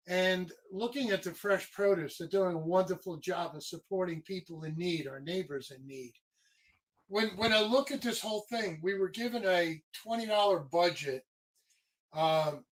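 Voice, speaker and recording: High-voiced man chef giving a report. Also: rough recording. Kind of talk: recorded video report.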